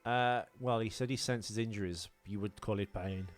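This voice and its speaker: A man's voice: fairly low pitch, fairly even intonation, moderate pace, faint music behind. A man with a British accent co-hosting a casual comedic podcast, rambling along in a jokey, self-deprecating way. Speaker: podcast co-host.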